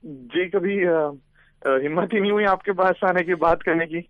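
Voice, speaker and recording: A man's voice: high-pitched, moderate pace, no echo. A man talking on the phone, hesitant and stammering. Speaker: caller being pranked. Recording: tinny phone line.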